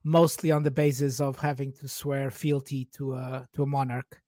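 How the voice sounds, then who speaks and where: A man's voice: medium pitch, little pitch movement, normal speed, warm tone, no room sound, clean studio sound. A man in his forties from Portugal, a native Portuguese speaker, chatting informally and in a rambling way as a podcast guest. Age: 40s. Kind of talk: casual personal chat.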